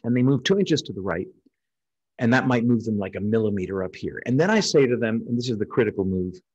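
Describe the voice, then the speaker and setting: An American man, middle-aged, lecturing as a surgeon in an informal, explanatory style with a slightly husky voice. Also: fairly low pitch, animated, quick pace, a little mellow, muffled recording. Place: indoors, on a video call over slides.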